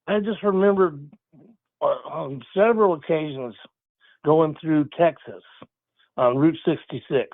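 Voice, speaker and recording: A man phoning in to a radio show, reminiscing in a loose, easygoing way. His voice sits a little high and comes through a tinny phone line. The sound is dry, with no room around it. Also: a little slow, gravelly, long pauses, no room sound. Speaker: caller. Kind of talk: phone-in chat.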